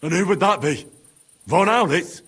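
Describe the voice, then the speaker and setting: A man's voice: sits high, expressive, moderate pace, a bit bright, husky, full character voice. An actor playing a grim, vengeful young swordsman in a video game, blunt and threatening. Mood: vengeful threat. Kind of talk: dramatic video game acting. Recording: music underneath the dialogue.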